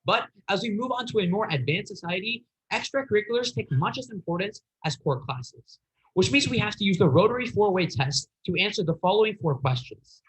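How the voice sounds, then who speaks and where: A man's voice: high-pitched, lively intonation, a little fast, a bit bright, low-quality recording. A teenage boy delivering an earnest, slightly hesitant persuasive speech in a contest. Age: teens. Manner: earnest, a little hesitant, occasional fillers and restarts.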